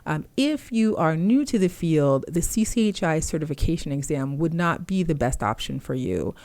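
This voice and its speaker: Woman trainer, native Haitian Creole speaker, deep voice, patient explainer, dry recording. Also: expressive, moderate pace, darkish tone, dry recording. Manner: explanatory, uses fillers, clear step-by-step. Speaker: medical interpreter trainer. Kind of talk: informal instructional talk.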